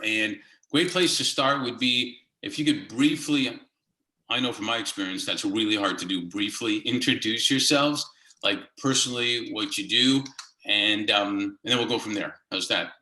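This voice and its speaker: A man's voice: medium pitch, unhurried, bright, forceful tone. A man hosting a casual interview on a video call in an upbeat, informal way. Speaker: interview host. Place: indoors on a remote video call. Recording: roomy, echoey room sound.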